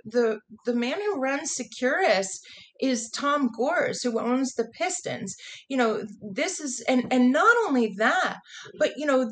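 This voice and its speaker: Woman, American, somewhat high voice. Earnest advocate in an interview, leans on key phrases. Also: fairly bright tone, a little hiss or hum. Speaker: interview guest, prison phone justice advocate.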